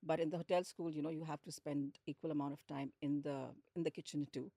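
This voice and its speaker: A woman's voice: sits low, steady tone, fast talker, mellow, no echo, clean studio sound. A woman with an Indian accent, talking conversationally and telling stories as a guest in an interview. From India, grew up in Lucknow and Hyderabad. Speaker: podcast guest, hospitality executive.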